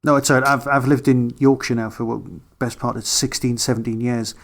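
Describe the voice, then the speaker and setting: A man's voice: mid-range voice, fairly even intonation, fairly quick, gravelly. A man from Newcastle upon Tyne with a Geordie accent, co-hosting a podcast in casual, self-deprecating chat. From Newcastle upon Tyne, England. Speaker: podcast co-host.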